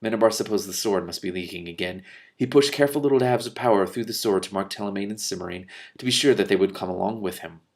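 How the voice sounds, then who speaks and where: A man's voice: on the low side, fast. A man reading a story aloud expressively, with some casual commentary of his own. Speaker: book reader and commentator.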